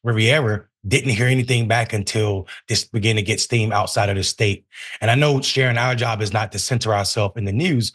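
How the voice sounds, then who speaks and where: A man with a fairly low voice offers political commentary on a news program. He talks conversationally and earnestly, building his argument as he goes. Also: fairly quick, fairly bright tone. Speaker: news show commentator, mayor.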